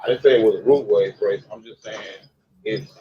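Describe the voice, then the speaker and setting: A man in his forties in casual chat, halting with many fillers and restarts. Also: lowish voice. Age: 40s. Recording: dull lo-fi sound with faint noise.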